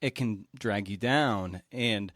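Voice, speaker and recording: A man hosting a podcast, asking questions conversationally in a halting, rambling way. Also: lowish voice, fairly bright tone, no echo, studio quality. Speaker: podcast host. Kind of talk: conversational interviewing.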